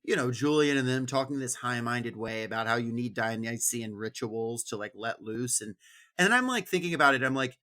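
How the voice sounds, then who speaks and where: A man's voice: mid-range voice, fairly quick, pushed and bright. Wry man with a mid-range voice. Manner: wry, colloquial. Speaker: podcast co-host. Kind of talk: casual book discussion with read passages.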